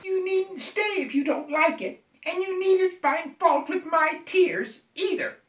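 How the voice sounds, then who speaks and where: A woman's voice: extremely high pitch, slow pace. A woman voicing a miserable, petulant little girl, prone to tears, in a children's bedtime story. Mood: sulky. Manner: petulant, tearful. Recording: echoey room, off the mic.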